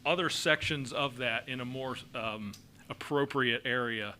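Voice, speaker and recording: A man's voice: mid-range voice, measured pace, sharp tone. A man presenting an ordinance at a city council meeting, explaining it with many fillers and an occasional chuckle. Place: council chamber.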